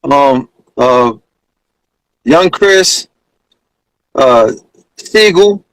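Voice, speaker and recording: A man's voice: medium pitch, animated, very slow, fairly bright tone, dry recording, low-quality recording. A man chatting casually in slang as an interviewed rapper. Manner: slangy, conversational.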